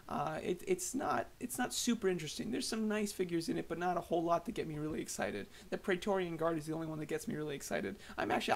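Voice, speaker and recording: Slightly hoarse, gravelly young man. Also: on the high side. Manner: rambling, hesitant, many fillers and restarts. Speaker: toy collector vlogger. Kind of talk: casual voice-over commentary.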